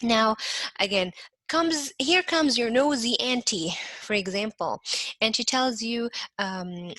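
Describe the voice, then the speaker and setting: A woman teaches a class in a conversational way, explaining with everyday examples and checking in with "right?" Her voice is bright and clear, and it sounds close and dry with no room echo. Her speech has many fillers and restarts. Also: on the high side, slow pace. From Egypt. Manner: talks to listeners as "you" and checks in with "right?". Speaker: instructor. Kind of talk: lecture to a class.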